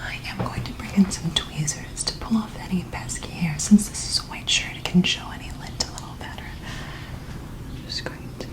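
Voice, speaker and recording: A woman performing an ASMR personal-attention role-play, speaking in a gentle, soothing, attentive manner. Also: fairly low pitch, a little fast.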